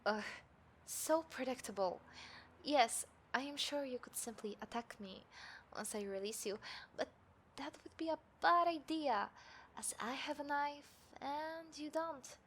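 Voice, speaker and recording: A smug female killer played by a woman toys with her prey in an audio drama. Her voice sits fairly high and carries a deliberate, taunting menace. Noticeable ambient noise runs behind her. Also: fairly bright tone. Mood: taunting threat. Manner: smug, menacing, deliberate, dropping into breathy whispers. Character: taunting, confident female serial killer hunting a detective. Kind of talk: scripted audio roleplay addressed to the listener. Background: city ambience sound effects.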